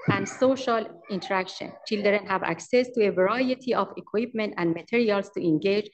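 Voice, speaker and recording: A woman in her forties with an Iranian accent, reading a prepared presentation at a podium in a halting way as a business owner. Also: mid-range voice, rough recording. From Iran. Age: 40s. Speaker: daycare owner and manager presenting. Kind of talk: prepared presentation at public meeting. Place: large council chamber. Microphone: podium microphone.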